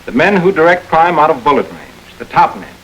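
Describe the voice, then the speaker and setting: An earnest, crusading district attorney. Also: male voice, on the high side, very animated, a little fast, fairly bright tone, rough recording. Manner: earnest and persuasive, speechmaking. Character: idealistic new district attorney rallying men. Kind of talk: 1930s film crime drama.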